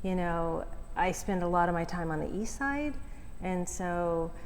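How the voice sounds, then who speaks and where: A woman's voice: on the low side, lively intonation, unhurried. A woman speaking as a guest coach, explaining conversationally and often checking in with the listener. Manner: outgoing, often checks in with "right?". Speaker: forgiveness coach, interview guest. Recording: echoey hall with clear background noise.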